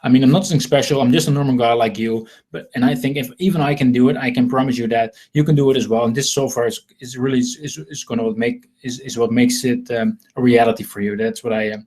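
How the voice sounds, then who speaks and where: A man's voice: medium pitch, steady tone, quick pace, hardly any pauses, a little mellow. A man from the Netherlands with a Dutch accent, hosting an informal live sales webinar in a rambling, repetitive, self-deprecating way. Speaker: webinar host selling marketing software.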